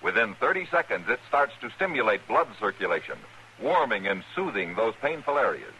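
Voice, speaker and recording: A man's voice: lowish voice, very animated, fairly quick, pushed and bright. A North American man reading a scripted radio commercial as an announcer. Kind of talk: scripted radio advertisement read. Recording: slightly noisy old radio broadcast.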